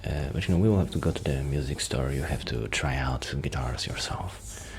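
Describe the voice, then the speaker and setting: A soft-spoken man rambling casually in a shy, hesitant, apologetic way, in the style of an ASMR friend roleplay. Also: rumbling low, moderate pace. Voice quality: soft-spoken, sometimes dropping toward a whisper. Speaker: ASMR roleplay performer playing a musician friend. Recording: clear background noise.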